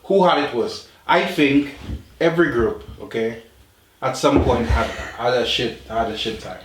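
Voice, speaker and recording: A man's voice: medium pitch, measured pace. A young man arguing insistently in a casual chat, often cutting in to take the floor. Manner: keeps cutting in with repeated interjections, insistent. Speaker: podcast co-host. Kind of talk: casual debate among friends. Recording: echoey room, faint noise.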